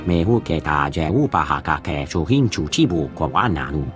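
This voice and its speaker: A man voicing a gruff alien who speaks a guttural invented tongue in a video game. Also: a bass voice, animated, fairly quick. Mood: stern. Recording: music behind the voice.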